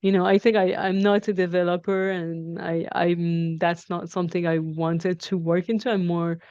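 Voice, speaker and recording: A woman's voice: fairly low pitch, little pitch movement, moderate pace, warm tone, dry recording, lo-fi sound. A woman in her forties from Iran and France, answering interview questions conversationally and reflectively, rephrasing as she explains. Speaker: interview guest, technology professional.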